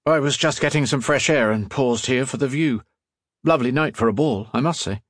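A man voicing a courteous, inquisitive young gentleman doctor in an audiobook story, with a polite, formal and chatty manner. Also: medium pitch, a little fast, no echo, clean studio sound, pitched above the performer's own voice, lightly acted. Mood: polite, startled.

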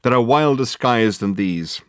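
A man voicing a pompous, excitable male detective in an audiobook, with grandiloquent, emphatic and self-satisfied delivery. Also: mid-range voice, unhurried, clean tone, dry recording, clean studio sound, close to an everyday voice. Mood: grandly urgent. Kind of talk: acted audiobook dialogue.

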